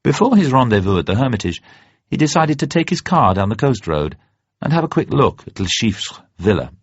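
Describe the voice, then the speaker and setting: A man reading a novel aloud as dramatic narration, measured, literary and expressive. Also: medium pitch, slightly rough. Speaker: narrator, audiobook reader. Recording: dull, roomy recording.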